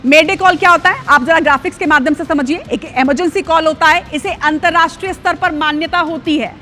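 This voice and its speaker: A woman's voice: high-pitched, fairly quick, pushed and bright. A woman anchoring live breaking news in an urgent, emphatic manner, driving key points home with repetition.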